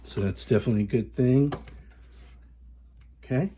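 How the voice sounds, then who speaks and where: A man in his thirties from Southern California, chatting excitedly and casually as he reviews coffee, with plenty of fillers and restarts. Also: medium pitch, moderate pace, slight background noise. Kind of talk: casual vlog chat.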